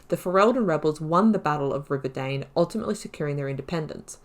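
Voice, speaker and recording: Woman gamer reading out lore n chatting about it casually, lowish voice, fixes herself as she goes. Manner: informal, self-correcting asides. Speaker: gaming video host. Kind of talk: reading game lore aloud with casual commentary.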